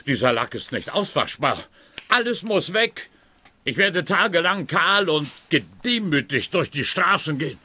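Pompous, sharp-voiced aggrieved client. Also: male voice, on the high side, expressive, measured pace, husky, no room sound, full character voice. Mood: outraged. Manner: pompous, melodramatic, stilted outrage. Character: pompous, indignant, vain male client, theatrically aggrieved. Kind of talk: radio comedy-crime acting.

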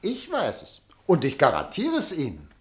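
A man's voice: fairly high pitch, huge pitch swings, moderate pace. An actor playing a pushy, scheming male newspaper director who presses and persuades insistently, in an old German radio play.

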